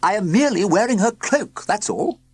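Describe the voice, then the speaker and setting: A reader voicing an earnest, dramatic headmaster who is lovestruck. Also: male voice, high voice, expressive, normal speed, darkish tone, breathy edge, pitched above the performer's own voice.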